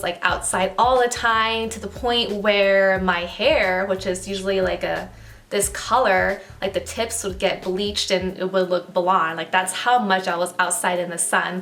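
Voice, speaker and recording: A young woman from Okinawa chatting to her viewers in a loose, friendly vlog style. She talks at a middling pitch and fills her sentences with easy asides and "like"s. She sounds at ease, as if talking to a friend. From Okinawa, Japan. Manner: chatty, relaxed, filler-heavy with "like" and "um". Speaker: beauty vlogger. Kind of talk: casual talk to camera.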